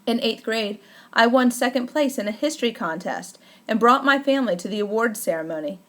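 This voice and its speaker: A woman reading a first-person memoir aloud as an audiobook, in a measured, deliberate way with careful emphasis. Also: on the high side, lively intonation, a bit bright. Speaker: audiobook narrator.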